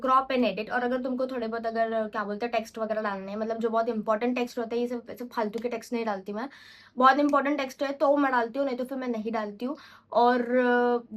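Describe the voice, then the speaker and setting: A young woman with a high voice vlogs in a loose, unscripted way. She rambles and chats and often corrects herself mid-sentence. She mixes English phrases freely into her speech. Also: fairly bright tone. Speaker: vlogger. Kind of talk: casual unscripted vlog talk.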